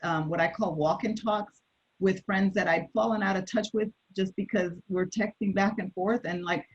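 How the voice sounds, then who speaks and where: A woman's voice: mid-range voice, steady tone, moderate pace, breathy edge. A woman panel guest talking reflectively about her daily routines, hesitant with many fillers and restarts. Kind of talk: casual personal sharing on a panel. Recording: dull lo-fi sound.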